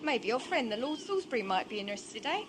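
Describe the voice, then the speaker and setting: A woman's voice: a little high, very animated, fast, background noise. A woman playing a cheeky, wheedling older street hawker woman in a historical audio drama, mock-deferential and saucy.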